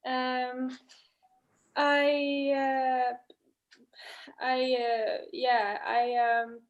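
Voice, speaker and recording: A woman's voice: high-pitched, little pitch movement, very slow, lo-fi sound. A woman from Sweden introducing herself on a video call, hesitant and full of ums. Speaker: guest. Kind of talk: casual self-introduction.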